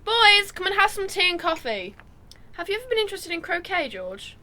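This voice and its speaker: A woman's voice: very high-pitched, sing-song, a little fast, pushed and bright, full character voice. A woman acting a well-off, chatty, proud middle-aged aunt in a radio drama, genteel and gushing.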